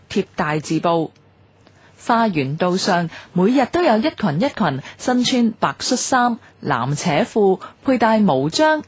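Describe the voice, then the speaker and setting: A woman radio presenter with a Hong Kong Cantonese accent, reading a scripted documentary in a formal, measured way. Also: fairly low pitch, a little mellow, slightly rough, dry recording.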